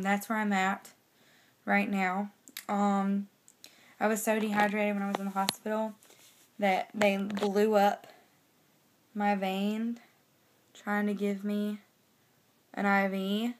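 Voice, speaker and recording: A young woman with a slightly raspy voice from a cold, chatting casually in a personal vlog into a phone held at arm's length indoors. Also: mid-range voice. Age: young adult. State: has a cold. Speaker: pregnancy vlogger giving a weekly update. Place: small bedroom indoors.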